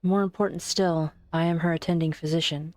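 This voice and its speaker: A woman's voice: fairly low pitch, fairly even intonation, a little fast, a little mellow, close to an everyday voice. A woman playing a polite, composed physician in an amateur game fan dub, speaking formally. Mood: composed, concerned. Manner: formal, courteous. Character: polite, composed female physician worried for her colleague.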